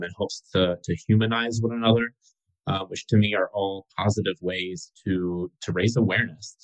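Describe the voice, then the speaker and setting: A man's voice: deep voice, poor audio. A man moderating a webinar, hesitant and full of fillers as he leads into questions. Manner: hesitant, many fillers, reads questions aloud.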